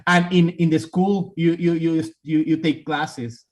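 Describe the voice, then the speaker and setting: A man's voice: on the high side, fairly even intonation, measured pace. Upbeat man with a strong Mexican accent. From southern Mexico. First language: Spanish. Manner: friendly, upbeat, repeats words while searching for English phrasing. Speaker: guest. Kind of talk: casual podcast interview. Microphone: remote video call.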